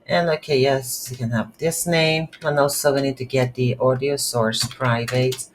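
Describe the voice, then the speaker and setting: A coding instructor who is a non-native English speaker, giving an unscripted game development tutorial and thinking aloud haltingly while working. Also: medium pitch, fairly even intonation. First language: not English. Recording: noisy, with keyboard typing. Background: computer keyboard typing.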